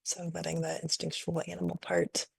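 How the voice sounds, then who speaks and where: A woman leading a guided meditation in a gentle, unhurried, invitational way, improvising softly and leaving long pauses. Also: on the low side, completely flat delivery, gravelly, husky. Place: online video call session.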